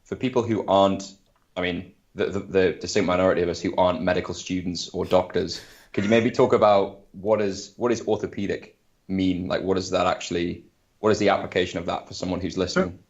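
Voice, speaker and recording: Very deep-voiced chatty man, dull audio. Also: little pitch movement, a little fast. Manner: chatty, curious questioner. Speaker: podcast co-host. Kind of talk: casual podcast interview.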